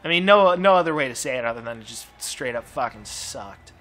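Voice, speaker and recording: A man's voice: medium pitch, expressive, brisk, fairly bright tone. A young man chatting loosely over his gameplay as a channel host. He rambles, swears freely, and is slightly losing his voice with a numb mouth. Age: young adult. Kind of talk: casual gameplay commentary.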